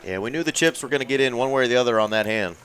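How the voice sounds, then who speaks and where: Texan man, poker play-by-play, mid pitch, folksy dry humor, booth. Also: rapid-fire, fairly bright tone, a little hiss or hum. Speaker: poker commentator. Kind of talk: live poker commentary. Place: commentary booth.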